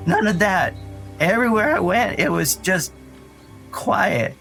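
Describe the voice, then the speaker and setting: An actor playing an earnest, anxious young boy who tells his story in a rambling, halting way in an audio drama. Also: high voice, expressive, creaky, a bit bright, exaggerated voice. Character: Dougie, frightened earnest young boy recounting his brother's disappearance. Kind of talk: naturalistic audio drama acting. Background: music under the voice.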